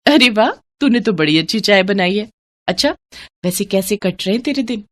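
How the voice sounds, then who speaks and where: A well-off young woman from a moral storybook, played by a woman narrator. She is a concerned old friend, frank and expressive, in a mid-pitched voice. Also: normal speed, natural voice. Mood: friendly.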